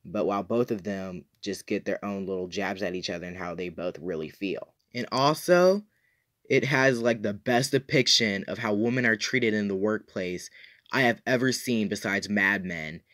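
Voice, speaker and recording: A man's voice: on the low side, normal speed, fairly bright tone. Man giving a casual, opinionated review. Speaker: YouTube reviewer, host. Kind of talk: casual review talk to viewers.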